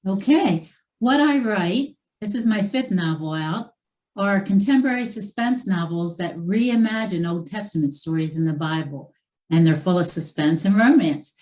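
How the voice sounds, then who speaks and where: A woman's voice: lowish voice, a little mellow, low-quality recording. A woman novelist being interviewed, talking in a chatty way with many fillers and restarts. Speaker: author guest. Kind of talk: conversational interview.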